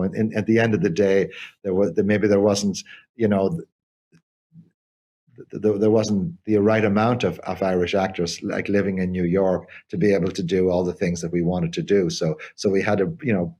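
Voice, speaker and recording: An older man, a theatre company co-founder, talking in an interview in a halting way with many repeated restarts. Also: on the low side, darkish tone, breathy edge. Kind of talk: conversational interview.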